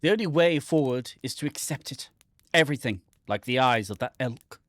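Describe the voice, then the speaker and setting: A man's voice: medium pitch, animated, moderate pace, fairly bright tone, dry recording. A man reading a game script aloud in a theatrical, playful manner, slipping in dry asides. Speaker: gaming channel host.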